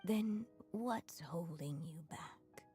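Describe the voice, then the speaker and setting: Slow, gentle motherly spirit. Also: on the low side, faint music behind. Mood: tender, encouraging. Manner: slow, tender, solemn storyteller. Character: gentle dead mother, a writer's magical echo bidding farewell. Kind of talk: video game voice acting.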